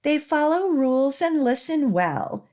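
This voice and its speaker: A woman children's librarian reading a rhyming picture book aloud for young children, with expressive, measured emphasis on the rhymes. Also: high-pitched. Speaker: children's librarian and storytime host.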